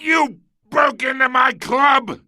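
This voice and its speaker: An actor playing an older, blustering crime boss in a video game, coarse and swaggering, with a gravelly voice. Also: male voice, very high-pitched, slow, bright, forceful tone, no echo, raised voice, studio quality, heavily acted. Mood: furious.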